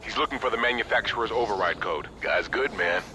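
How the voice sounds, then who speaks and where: A man playing a conscientious, steady private military soldier in a video game cutscene, talking in a casual, blunt military way. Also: on the low side, brisk, background noise, natural voice. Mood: serious, steady. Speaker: soldier on a mission.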